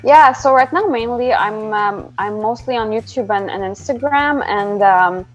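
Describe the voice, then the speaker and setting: Young canadian woman, chatty but halting, lots of ums, kinda dull lo-fi sound. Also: on the high side, soft background music. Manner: hesitant, many fillers and restarts. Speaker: singer-songwriter guest. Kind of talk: casual interview chat. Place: home, remote call. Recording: slightly dull lo-fi audio.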